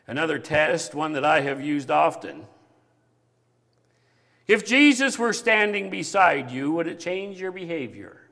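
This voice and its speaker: A man preaching a sermon with a big voice that grows animated at times. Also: on the high side, unhurried, creaky, in a large hall. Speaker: pastor.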